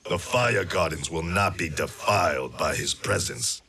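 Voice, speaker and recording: An actor playing a cold, terse male undead ninja in a game cutscene, slow and solemn. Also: sits low, vocal fry, noisy background. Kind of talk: video game cutscene acting.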